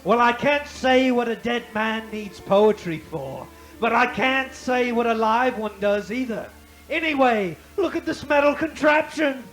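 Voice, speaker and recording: An actor playing a sly, grumbling scavenger in a radio play, talking in folksy, wheedling phrases. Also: male voice, very high voice, expressive, unhurried, fairly bright tone, faint music behind, full character voice, rough recording. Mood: crafty, boastful. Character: sly, grumbling scavenger haggling over a dead man's belongings.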